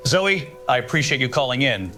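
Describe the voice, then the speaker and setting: A man's voice: medium pitch, animated, brisk, bright, forceful tone, faint music behind. A man playing a concerned radio news host in an audio drama, courteous and earnest as he questions people. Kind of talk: live call-in news show in an audio drama.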